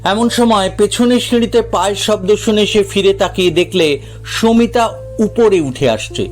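A man narrating a scripted dramatic story in a measured, literary storytelling manner. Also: high voice, faint music behind.

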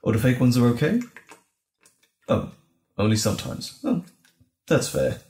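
A man playing a gentle, caring boyfriend in an intimate audio roleplay, speaking soothingly and tenderly with light teasing and a halting delivery. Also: medium pitch, very animated, echoey room. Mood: gentle, understanding. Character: gentle, caring boyfriend comforting frightened partner.

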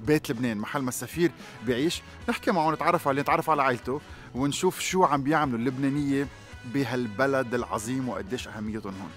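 A man's voice: medium pitch, rapid-fire, fairly bright tone, soft background music. A man in his forties with a Lebanese Arabic accent, narrating a casual, enthusiastic travel vlog. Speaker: travel vlogger, host.

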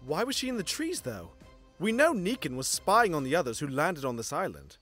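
A man's voice: on the high side, sing-song, brisk, a bit bright, faint music behind. A man playing a curious, questioning young seafarer in a fantasy audio drama, inquisitive in manner.

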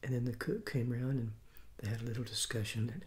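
A man in his sixties, hoarse from a cold, reviewing fast food in a soft, half-whispered ASMR style with many restarts, seated at a table indoors. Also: medium pitch. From Southeastern United States. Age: 60s. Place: small room at a table, indoors. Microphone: fixed camera mic at close range.

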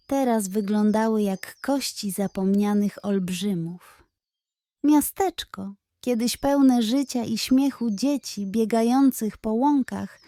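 Slow, mellow woman reading bedtime stories. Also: mid-range voice, no echo. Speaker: children's story narrator. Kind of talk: scripted bedtime story narration.

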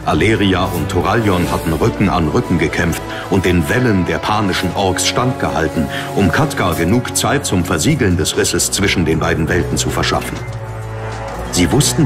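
A man reading scripted dramatic narration for a fantasy audiobook. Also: sits low.

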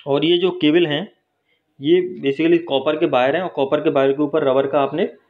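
A man's voice: fairly high pitch, steady tone, normal speed. A man teaching welding in a workshop, explaining step by step and often checking in with his viewers. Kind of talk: hands-on technical explanation. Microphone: phone held while filming. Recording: dull lo-fi phone recording.

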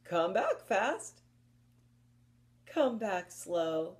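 A woman presents a story time for small children in an expressive, encouraging way. She speaks slowly and deliberately, with a very lively melody that rises and dips as she reads rhymes and picture books and asks the listeners questions. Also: medium pitch. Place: indoors, small book-lined room at home.

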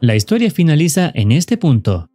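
Male narrator, scripted explainer, measured, formal, dark soft timbre, animated intonation. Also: on the high side. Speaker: horror video narrator. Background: background music.

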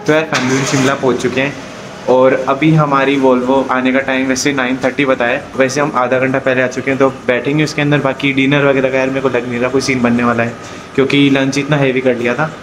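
Young male travel vlogger, easygoing selfie-cam chat, mid pitch, faint outdoor hum. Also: brisk. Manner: chatty, relaxed, says "yaar" often. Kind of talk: casual travel vlog to camera. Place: outdoors on mountain roads and hotel grounds. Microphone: phone or camera held at arm's length.

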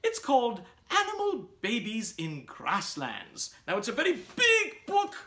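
A middle-aged man playing a pompous, fussy gentleman host of a comic children's show, musing and exclaiming theatrically. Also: extremely high pitch, wildly swooping pitch, unhurried, husky, exaggerated voice. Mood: genteel musing.

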